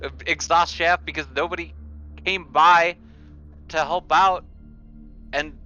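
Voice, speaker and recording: A man's voice: on the high side, steady tone, takes it slowly, pushed and bright, highs cut off, soft background music. A man playing a tabletop game, talking casually and hesitantly as he works out what his character says. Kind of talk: casual in-game roleplay talk.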